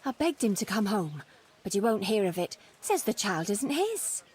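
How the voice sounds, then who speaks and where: Medieval peasant mother, video game drama, husky, folksy, pleading, deferential, easily indignant. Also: mid-range voice, sing-song, moderate pace, a little mellow. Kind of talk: video game cutscene acting.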